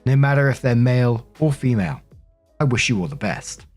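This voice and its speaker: A man's voice: medium pitch, expressive, brisk, darkish tone, faint music behind. A man narrating online stories aloud as a show host, conversational and informal, with casual commentary.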